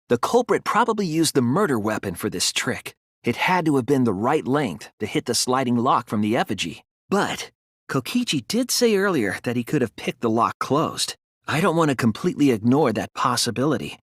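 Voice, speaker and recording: A man's voice: medium pitch, husky, no echo, studio quality. A man playing an earnest, careful teenage boy detective in an English video game dub, explaining his deductions with a mix of hesitance and insistence.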